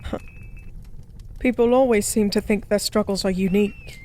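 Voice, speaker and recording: A woman playing a forgotten goddess as a tipsy pub stranger in an intimate audio roleplay, speaking softly in slow, wistful philosophical rambles. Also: mid-range voice, slight background noise, natural voice. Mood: wry, probing. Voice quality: soft. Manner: slow, musing, rambling, teasingly cryptic.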